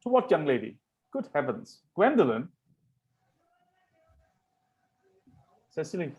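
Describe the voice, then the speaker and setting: Flippant young dandy, played by a man, fairly high voice, glib formal delivery, Victorian comedy. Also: big pitch swings, a little fast, a little mellow, muffled recording. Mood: affectionate, startled. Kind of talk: amateur table read of a stage comedy.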